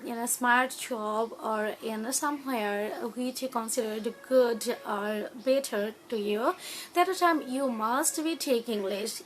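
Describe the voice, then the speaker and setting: Bangladeshi woman, halting english, high voice. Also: a little hiss or hum. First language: Bengali. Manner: halting non-native English with many "uh" fillers and restarts. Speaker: YouTube channel host. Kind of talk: casual talk to camera on learning English.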